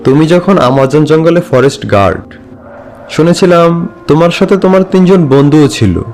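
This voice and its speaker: A man's voice: a little high, moderate pace, darkish tone, close to an everyday voice. A man playing a young villager narrating a cartoon story, eager to hear old tales. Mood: friendly, curious. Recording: faint music behind the voice.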